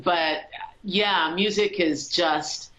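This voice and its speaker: A woman from Colorado, a musician, talking as an interview guest over a video call in a warm, reflective way, with frequent ums. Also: on the low side, fairly even intonation, very slow, echoey room, poor audio. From Colorado, USA. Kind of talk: casual interview conversation.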